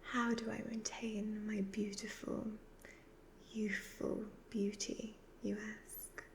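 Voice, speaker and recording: Slow breathy evil witch, acted by a woman. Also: mid-range voice, flat delivery. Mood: gloating, mocking. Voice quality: breathy, half-whispered. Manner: slow, deliberate, hypnotic, condescending. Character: evil ageless witch, youthful-looking, gloating, seductive, predatory. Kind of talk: soft-spoken ASMR roleplay. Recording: faint background noise.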